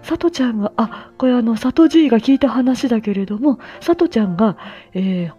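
A woman plays a drowsy, easygoing old grandpa with a high, thin-edged bright voice. He rambles on in a chatty old-man way. The sound is dull and lo-fi, with music behind. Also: expressive, fairly quick, husky. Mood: reminiscing. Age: older. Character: sleepy, easygoing old grandpa, just woken up.

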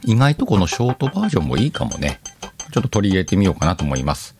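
A man's voice: lowish voice, very animated, rapid-fire, background noise. A man hosting a casual solo audio show, reading out listener letters and chatting to himself with self-deprecating banter and frequent laughter.

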